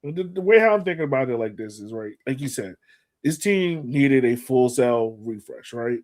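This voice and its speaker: A man's voice: medium pitch, lively intonation, moderate pace, darkish tone. A man co-hosting a soccer podcast, breaking things down casually in colloquial language and repeating words for emphasis. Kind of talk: casual sports analysis.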